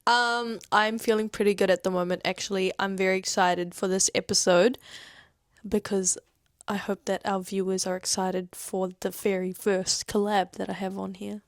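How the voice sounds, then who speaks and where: A woman's voice: mid-range voice, level tone, normal speed, no room sound, studio quality. A woman in her twenties with a New Zealand accent, hosting a podcast in an upbeat, chatty way. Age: 20s. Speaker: podcast host. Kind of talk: casual chat.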